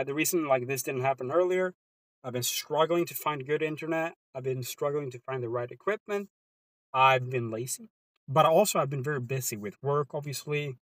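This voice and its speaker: A man's voice: medium pitch, dry recording, poor audio. A man from Sweden with a Swedish accent, chatting casually with viewers on a live stream from inside his car, laid-back and slangy.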